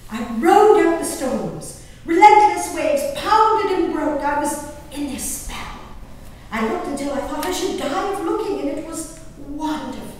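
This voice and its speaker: In a very echoey hall, far from the microphone, a high-pitched, genteel English gentlewoman played by an actress declaims her diary. She is theatrical and easily swept up in feeling, and speaks slowly with drawn-out emphasis. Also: expressive, slight background noise. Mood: rapturous. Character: genteel, dramatic English gentlewoman diarist, easily appalled, enraptured by wild nature. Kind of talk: theatrical dramatic reading of a diary on stage.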